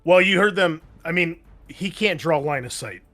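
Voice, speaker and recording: A man's voice: a little high, normal speed, fairly bright tone, soft background music. A man acting as game master of a tabletop game, explaining the scene in an informal, conversational way. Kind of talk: casual tabletop game narration.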